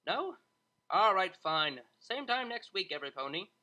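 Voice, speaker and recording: A narrator voicing an excitable, theatrical male pony tutor in an audiobook, exclaiming and pushing for attention. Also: medium pitch, a little slow, muffled recording, voice pushed up. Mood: exasperated. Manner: exclamatory, animated. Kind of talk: audiobook character voice.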